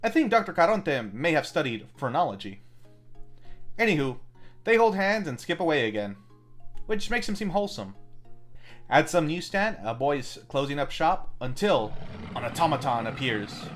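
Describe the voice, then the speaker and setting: A man hosting a film review, reading a scripted movie recap with deadpan, wry asides over music. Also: a little high, normal speed. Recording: music bed under the voice.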